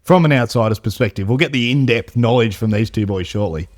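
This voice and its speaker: A man hosting a casual podcast in an office, jokey and quick to laugh. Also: medium pitch. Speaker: podcast host.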